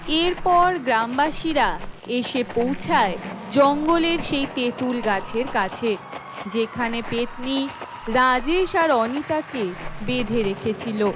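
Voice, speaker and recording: High-voiced woman narrating slowly. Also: animated, background noise. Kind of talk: scripted storytelling narration for horror cartoon.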